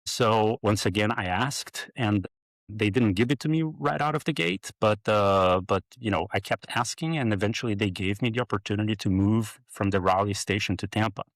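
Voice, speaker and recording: A middle-aged man from Brazil, telling his career story as a podcast guest, halting with many fillers and restarts. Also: lowish voice, no echo, rough recording. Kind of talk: interview storytelling.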